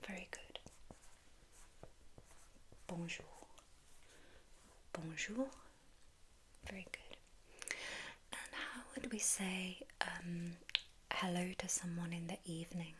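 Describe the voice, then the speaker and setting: Slow whispering woman tutor. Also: fairly low pitch, flat delivery, a little hiss or hum. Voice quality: soft whisper. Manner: patient, encouraging, repeats words slowly. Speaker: French tutor. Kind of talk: whispered ASMR language lesson.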